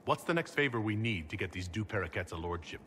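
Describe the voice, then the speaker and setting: An actor playing a steady, gallant leader in a video game, speaking in a courteous, direct and diplomatic manner. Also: male voice, on the low side, fast, slight background noise. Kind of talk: video game cutscene acting.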